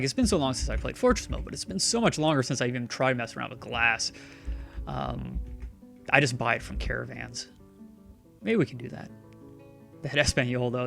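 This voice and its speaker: Male streamer, gravelly, rambling sarcastic commentary, faint guitar music underneath. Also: mid-range voice, fairly bright tone. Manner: chatty, sarcastic, talks back to the game's characters. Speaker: streamer playing a game.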